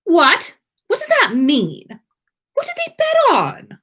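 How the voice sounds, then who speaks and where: Storybook schoolboy wizard, acted by a woman: snobbish, whiny, indignant drawl, raised pitch, swooping delivery. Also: wildly swooping pitch, slow, fairly bright tone, full character voice. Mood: alarmed. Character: teenage wizard boy, haughty, whiny and dramatic.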